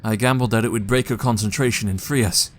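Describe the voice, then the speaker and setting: A man's voice: on the low side, fairly even intonation, fast talker, natural voice. A man playing a defiant, haunted young Victorian vampire hunter in a horror audio drama, earnest and intense. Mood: grave.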